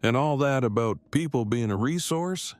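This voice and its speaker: A man's voice: mid-range voice, animated, normal speed, dry recording, lightly acted. A man playing a wary, fearful character in an audio drama, speaking in stiff, wordy, overly formal phrases. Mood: stiff, hesitant.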